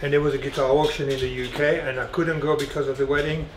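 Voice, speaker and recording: A man's voice: a little high, fast, background noise. Middle-aged man telling stories in echoey room. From France. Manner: animated anecdotes, laughing often. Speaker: guitar collector guest. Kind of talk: storytelling interview. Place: dim indoor flat room.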